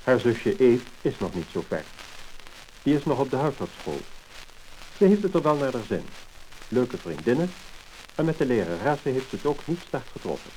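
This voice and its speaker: A man with a Netherlands Dutch accent reading a scripted 1950s narration in a measured, formal manner. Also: mid-range voice, sing-song, dark tone. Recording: crackly, hissing mid-century disc recording.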